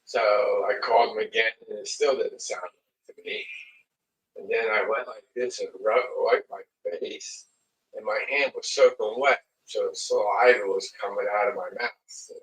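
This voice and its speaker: A man with a slightly raspy voice hosting a casual livestream in a halting, unhurried manner. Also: lowish voice, steady tone, fairly bright tone. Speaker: livestream host. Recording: muffled lo-fi audio, distant mic.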